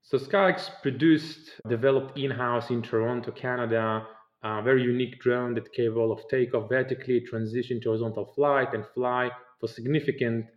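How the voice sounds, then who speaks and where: Man, Israeli accent, middle-aged, earnest long explanations, mid-pitched, roomy dull sound. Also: fairly even intonation, normal speed. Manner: earnest, explains at length with fillers and non-native phrasing. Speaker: startup founder and CEO, guest. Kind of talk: podcast interview answers.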